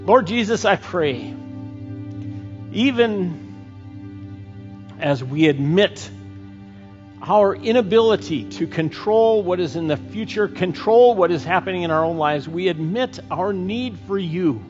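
A middle-aged man preaching a sermon and leading a closing prayer, slow and earnest with frequent pauses, on a headset mic. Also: sits high, expressive, faint music behind. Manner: slow, earnest, pausing often. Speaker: pastor. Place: church stage, indoors. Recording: dull church recording with long reverb.

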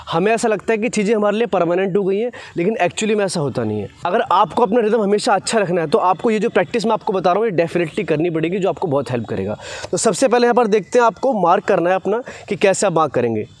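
A man's voice: high voice, darkish tone. A man, explaining cricket technique like a coach, often checking in with short tag questions. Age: 20s. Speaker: cricket fast-bowling coach, presenter. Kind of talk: instructional explanation to camera. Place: open grassy cricket ground, outdoors. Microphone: lapel mic.

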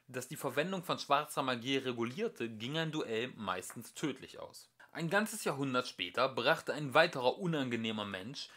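Male amateur audiobook narrator, mid-pitched, animated intonation, expressive scripted read.

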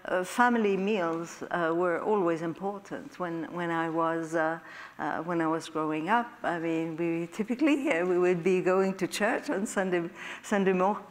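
A woman in her sixties with a Quebec French accent, French her first language, speaking on a discussion panel, full of hesitations and restarts. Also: on the low side. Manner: halting, many hesitations and restarts. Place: stage in an event hall. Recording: hall reverb.

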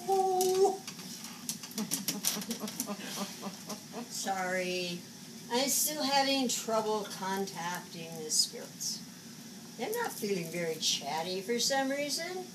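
A woman's voice: mid-range voice, very animated. A woman in her seventies playing a flamboyant, agitated psychic who scolds spirits in a dramatic stage monologue. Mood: frustrated. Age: 70s. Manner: dramatic, exasperated, halting muttering to outbursts. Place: small indoor stage set. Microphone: camera a few metres away. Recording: distant camera recording, noisy room.

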